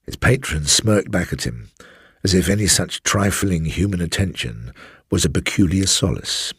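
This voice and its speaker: An older man with an English accent reading a literary ghost story in a measured, wry and dramatic manner. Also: low-pitched, expressive, fairly bright tone, breathy edge, no echo, clean studio sound. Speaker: story reader.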